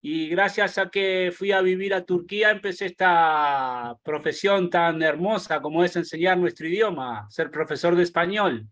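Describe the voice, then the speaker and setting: A man's voice: sits high, moderate pace, hardly any pauses, fairly bright tone. A Uruguayan man, a Spanish teacher, introducing himself in a friendly way on a video call and using filler words. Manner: friendly, uses filler "este".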